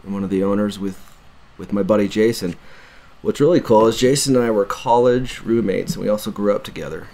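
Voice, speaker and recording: A man's voice: fairly low pitch, a little fast, gravelly, mellow. A young man with a laid-back manner and dry humor, chatting as co-host on a podcast. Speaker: podcast co-host and bass player. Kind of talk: casual podcast chat.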